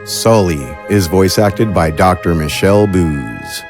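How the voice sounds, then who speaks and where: A man reading scripted podcast credits as the announcer, in a measured, formal way. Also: low-pitched. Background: music.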